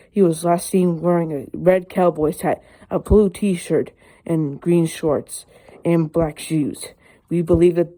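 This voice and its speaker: Someone doing a homemade emergency alert announcement, mellow dark voice, bit halting as they read. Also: mid-range voice, low-quality recording. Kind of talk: amateur read-aloud mock emergency broadcast.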